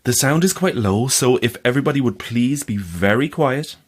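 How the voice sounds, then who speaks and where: An actor in an audio drama playing an earnest, insistent believer in the afterlife, speaking formally and solemnly. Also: male voice, mid-range voice, animated, moderate pace, lightly acted. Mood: insistent, apologetic. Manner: formal, apologetic, storyteller's solemn phrasing. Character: earnest, insistent male afterlife-society believer telling ghostly stories.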